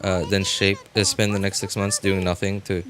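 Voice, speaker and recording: Low-voiced man rambling on a livestream. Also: monotone, moderate pace. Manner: rambling, repeats phrases, thinking aloud. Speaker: game livestreamer. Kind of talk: casual livestream chat.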